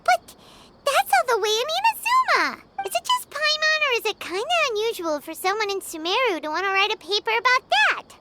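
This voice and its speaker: A woman voicing a tiny, excitable female fairy companion who talks about herself in the third person, with a high squeaky voice. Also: brisk, very noisy. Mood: curious. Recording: game music behind the voice.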